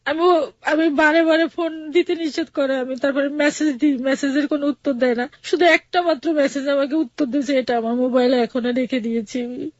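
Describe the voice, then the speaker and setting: High-voiced, plaintive Bangladeshi woman, muffled sound. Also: steady tone, fairly quick, darkish tone, no echo. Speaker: interview guest telling her life story. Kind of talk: personal account in colloquial speech.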